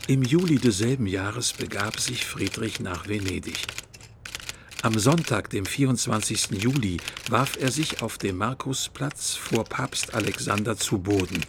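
An actor playing a chronicler, narrating historical events formally in a radio drama. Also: male voice, lowish voice, vocal fry, background noise.

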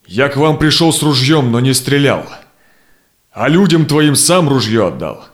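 Man acting steadfast Cossack peacemaker, medium pitch, earnest, persuasive, measured rhymed verse, audio drama. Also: lively intonation, lightly acted. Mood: calm, pleading. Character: steadfast, conciliatory Russian Cossack envoy, husband and father.

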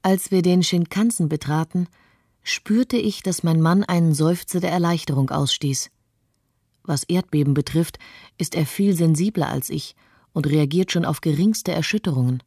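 An actress playing an observant, composed wife, telling a personal account in articulate, scripted radio documentary narration. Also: female voice, low voice, normal speed, warm tone, breathy edge, dry recording, clean studio sound. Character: observant, composed wife recalling her flight from disaster.

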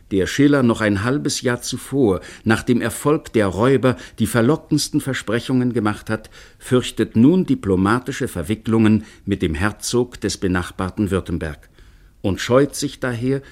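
A man narrating a historical radio play in a measured storytelling manner. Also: on the low side, darkish tone. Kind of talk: scripted narration.

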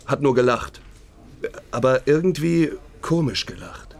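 An actor playing a guarded, streetwise workmate in a German radio crime drama, speaking in an offhand, colloquial way. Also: male voice, mid-range voice, expressive, measured pace, vocal fry. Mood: offhand, reticent. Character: guarded, streetwise male workmate, plain-spoken.

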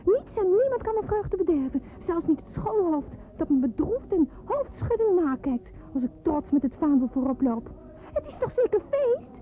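Excited high-voiced little schoolgirl. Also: normal speed. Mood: proud, gleeful. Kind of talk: radio drama acting, first-person narration and dialogue. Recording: tinny band-limited old radio play, background noise.

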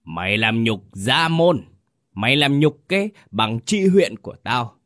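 A man's voice: medium pitch, animated, a little slow, fairly bright tone, no echo, clean studio sound, pitched above the performer's own voice. A man playing an enraged older patriarch who roars at his son, voice shaking with anger. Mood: furious. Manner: roaring, trembling with rage.

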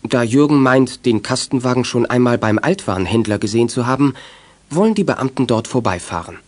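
A man's voice: mid-range voice, lively intonation, brisk, darkish tone. A man narrating a children's audio drama as a scripted storyteller.

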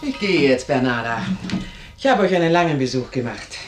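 An actress playing a sorrowful, resigned older woman paying a visit in a radio drama, gentle in manner. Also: a bass voice, lively intonation, fairly quick, echoey room, over music. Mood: weary, resigned.

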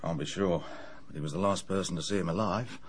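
Lowish-voiced, dogged police inspector. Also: male voice, fast, slightly rough. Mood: determined. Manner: blunt, businesslike. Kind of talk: radio drama acting.

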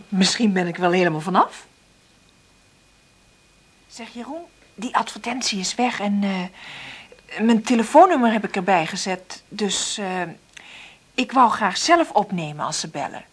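A husky, breathy middle-aged woman in a naturalistic radio play. She is an insecure, tender mother who hesitates and corrects herself, often half-whispering as if thinking aloud. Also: mid-range voice, unhurried, pauses often. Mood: nervous, hopeful. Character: insecure, struggling divorced mother, tender and nervous. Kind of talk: naturalistic radio play acting with intimate monologue.

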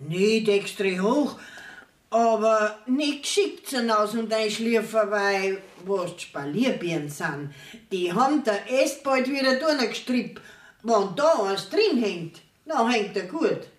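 A plain-spoken Bavarian country widow in a dialect radio play. She sounds set back in an echoing room, talking slowly and in an earthy, matter-of-fact way. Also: a little high, lively intonation, distant mic. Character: plain-spoken middle-aged village widow and mother. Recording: roomy radio studio, off from mic.

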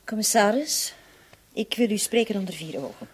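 An actress in a radio drama playing a long-suffering, resolute woman making a confession, her voice breathy and husky, her delivery halting and intense. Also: mid-range voice, animated. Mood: firm, urgent.

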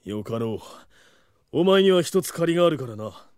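A proud merman warrior voiced by a man for an audio drama. He is stern and scornful, in a clear, fairly high male voice with a dry sound. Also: animated, normal speed, a little mellow, no room sound, close to an everyday voice. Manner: formal, scornful. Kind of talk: anime-style audio drama acting.

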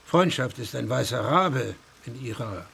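An actor playing a blunt, skeptical surgeon who questions probingly. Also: male voice, medium pitch, lively intonation, a little slow, creaky, a bit bright, a little hiss or hum, exaggerated voice. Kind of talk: radio play acting.

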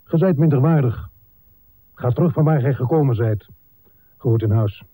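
A man's voice: medium pitch, moderate pace, warm tone, no room sound, close to an everyday voice. An actor playing a pompous, scornful male chauvinist scientist in a radio drama, stern and hectoring. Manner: stern, hectoring, question-and-answer declaiming.

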